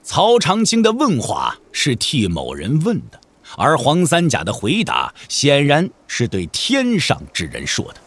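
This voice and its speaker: A man's voice: mid-range voice, huge pitch swings, measured pace, fairly bright tone. A man giving dramatic scripted narration for a wuxia audio drama.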